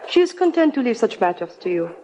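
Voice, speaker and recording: A woman's voice: on the high side, very animated, fast talker, warm tone. An actress playing a mysterious, polite young woman with a French touch, in 1940s radio acting. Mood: coy. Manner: coy, polite, formal phrasing.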